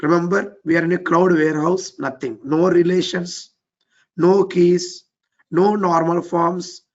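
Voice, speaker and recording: A man with an Indian English accent teaching a live technical class. His voice is weak and low, and he keeps repeating his cues to move on step by step. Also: steady tone, a little slow, darkish tone, dull audio. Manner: repetitive, keeps saying "let's get started, step by step". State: voice a bit weak and low from overuse. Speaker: trainer.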